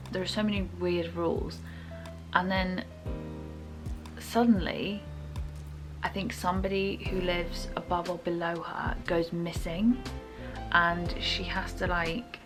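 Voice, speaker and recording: A young woman talks casually about books to her viewers. Her voice sits fairly low and has a clear creak, with music playing behind it. She is chatty and informal, often hedging her opinions. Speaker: book reviewer.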